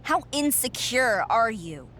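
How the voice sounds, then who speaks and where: A woman's voice: a little high, very animated, takes it slowly, a bit bright, slight background noise, heavily acted. An actor playing a defiant, frustrated young female wrestler, insistent and indignant, in a video game cutscene. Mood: defiant anger.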